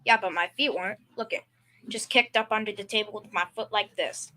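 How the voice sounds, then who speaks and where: A woman's voice: medium pitch, brisk, bright, forceful tone, natural voice. A young female performer playing a blustering, secretly scared father with folksy, hesitant speech in a school old-time radio comedy. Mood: joking bluster. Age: young teen or child.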